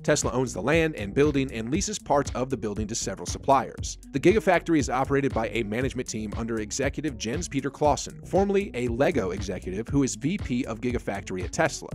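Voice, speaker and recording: Fast-reading male narrator over soft music. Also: mid-range voice, animated. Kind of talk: scripted narration. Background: faint background music.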